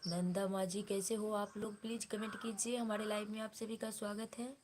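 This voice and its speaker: Woman live-streamer from Bihar, flat delivery. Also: mid-range voice, normal speed, background noise. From Bihar, India. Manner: repetitive, friendly greetings asking viewers to comment. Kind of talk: casual live-stream chat greeting viewers.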